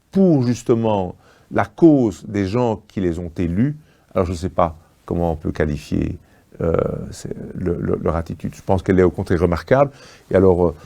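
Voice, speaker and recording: Low, mellow man talking politics. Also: gravelly. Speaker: political party spokesman. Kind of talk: political interview commentary.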